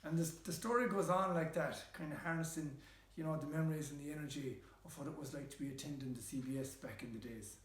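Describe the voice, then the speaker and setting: A man's voice: on the high side, fast talker, hardly any pauses, roomy sound, slight background noise. A man with a west of Ireland accent guiding an informal literary tour as a storyteller. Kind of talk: informal guided-tour talk with readings aloud.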